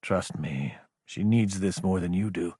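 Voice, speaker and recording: A man voicing a female military superior in an audiobook, gentle, understanding and firmly encouraging. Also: mid-range voice, lively intonation, moderate pace, husky, no echo, clean studio sound, voice pushed up. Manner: gentle, reassuring. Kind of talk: audiobook character acting.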